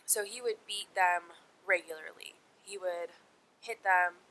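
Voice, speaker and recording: A woman's voice: unhurried, pushed and bright. A young woman with a nasal, congested voice from a cold and sniffles, telling a true crime story casually and informally to her viewers. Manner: informal, sweary, talks to viewers as friends. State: sick with a cold, sniffling, dry sore throat. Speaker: host of a true crime video series. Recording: poor mic with clear noise and rumble.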